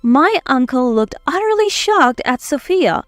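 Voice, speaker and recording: High-pitched, expressive woman storyteller. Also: measured pace, no echo, studio quality, faint music behind. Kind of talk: scripted first-person story narration.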